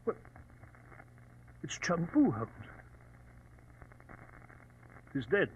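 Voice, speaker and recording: High-pitched blustery British gentleman. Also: wildly swooping pitch, takes it slowly, heavily acted. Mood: startled. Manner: blustery, exclamatory, puzzled. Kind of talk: old-time radio drama acting. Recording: dull, faintly noisy vintage radio recording.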